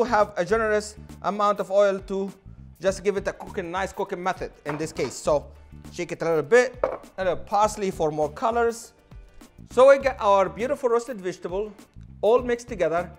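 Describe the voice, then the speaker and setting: A man's voice: high voice, a bit bright. A man in his thirties, a chef giving an enthusiastic cooking demonstration on a studio kitchen set, on a lapel mic. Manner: enthusiastic, non-native phrasing.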